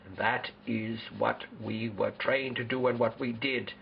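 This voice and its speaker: Muffled noisy amateur video, guy acting as solemn spartan king, gravelly voice, formal speechy delivery. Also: mid-range voice, steady tone, a little slow. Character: proud, solemn ancient Spartan warrior king being interviewed. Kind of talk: amateur historical role-play interview.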